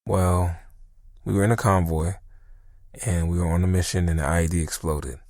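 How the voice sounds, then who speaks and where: A man's voice: deep voice, fairly even intonation, moderate pace, darkish tone. An American man playing a subdued military veteran in an acted interview, with an understated, hesitant delivery. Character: subdued male military veteran, thirties or older, recalling trauma. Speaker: voice actor in a training simulation. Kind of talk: acted clinical interview testimony.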